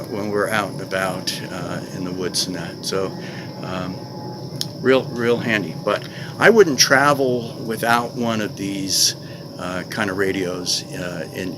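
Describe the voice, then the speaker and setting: An older man talking casually to camera in a plain-spoken, conversational way, like a vlogger reviewing gear. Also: on the low side. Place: outdoors in a wooded clearing. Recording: noisy outdoor recording with insect ambience.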